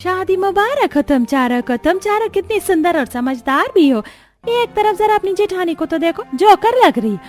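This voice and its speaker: A woman's voice: extremely high pitch, big pitch swings, normal speed, soft background music. A woman voicing a spiteful, mocking neighbourhood gossip in a cartoon. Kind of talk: Hindi cartoon voice acting.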